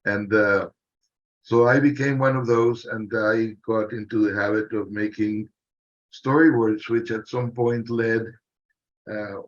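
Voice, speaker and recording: A man, a filmmaker and animator, introducing his work on a panel in a halting way with restarts. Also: medium pitch. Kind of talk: casual panel self-introduction. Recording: muffled lo-fi call audio.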